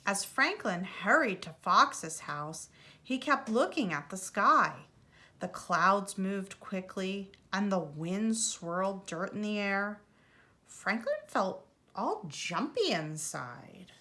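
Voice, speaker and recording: A woman preschool teacher reading a children's storybook aloud in an expressive, deliberate way. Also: medium pitch. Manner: animated, deliberate delivery for young children.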